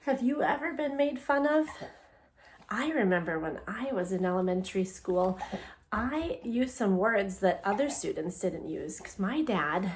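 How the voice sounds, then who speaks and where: A woman teacher reading a picture book aloud to young children, expressively and deliberately, with conversational asides and questions to her listeners. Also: medium pitch, expressive, a little hiss or hum. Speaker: elementary English language development teacher.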